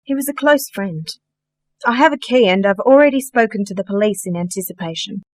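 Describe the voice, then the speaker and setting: A woman's voice: mid-range voice, animated, fairly quick, a little mellow, natural voice. A woman playing a genteel, dryly witty sorcerer in a radio play, polite, precise and matter-of-fact. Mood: composed, concerned. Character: composed, genteel, dryly witty female sorcerer, organizer of magic workers.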